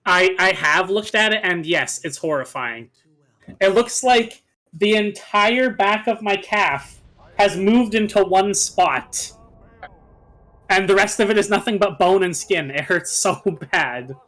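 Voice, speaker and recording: A man's voice: high voice, takes it slowly, a bit bright, poor audio. A man chatting casually with friends as co-host of a gaming video, describing things with colorful exaggeration. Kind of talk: casual chat among friends over a game video.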